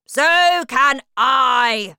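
A woman voicing a huge, furious head teacher who shouts in a loud, dangerous voice. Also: medium pitch, lively intonation, takes it slowly, smooth, dry recording, studio quality, pitched above the performer's own voice. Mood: angry shouting.

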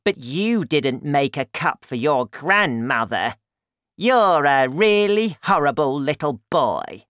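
A woman's voice: low voice, animated, slow, no echo, studio quality, pitched above the performer's own voice. A woman voicing a bossy, grumbling, greedy old grandmother in a storybook, demanding and scolding. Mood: scolding, indignant.

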